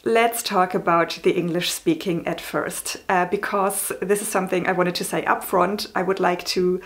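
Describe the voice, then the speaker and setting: A woman's voice: lowish voice, steady tone, moderate pace. A woman in her thirties with a German accent, talking casually to camera in a vlog, chatty and a little apologetic, with frequent ums. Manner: chatty, apologetic, many ums and small laughs. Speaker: vlogger.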